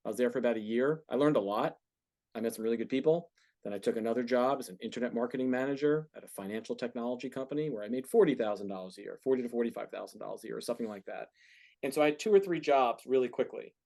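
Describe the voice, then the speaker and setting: A man's voice: mid-range voice, racing, creaky, darkish tone. A man in his forties answering questions informally as a guest speaker in front of a class. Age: 40s. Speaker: guest speaker, entertainment business founder.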